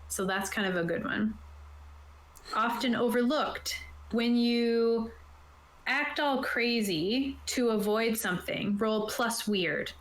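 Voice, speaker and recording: A woman's voice: fairly high pitch, takes it slowly. A woman casually explaining game rules on a tabletop stream, reading aloud and then putting it in informal plain terms. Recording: roomy, echoey sound.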